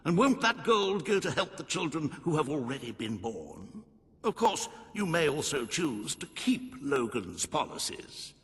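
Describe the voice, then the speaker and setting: An actor voicing a courteous, deferential royal adviser in a video game, formal and genteel with an insinuating manner. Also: male voice, a little high, big pitch swings, unhurried, a bit bright, big-room sound, exaggerated voice. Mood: courteous, sly. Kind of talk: scripted video game dialogue.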